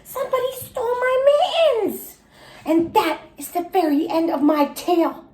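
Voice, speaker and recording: A woman's voice: extremely high pitch, lively intonation, normal speed, darkish tone, voice pushed up. A woman voicing a chatty, dramatic kindergarten girl who talks emphatically in childish grammar for a storybook. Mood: nervous, whiny. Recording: echoey room.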